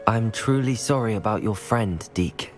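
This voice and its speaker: An actor playing a polite, helpful young student wizard in a video game, speaking in a courteous, earnest way. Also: male voice, fairly low pitch, normal speed, close to an everyday voice. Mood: sympathetic. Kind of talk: video game character dialogue. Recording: music clearly behind the voice.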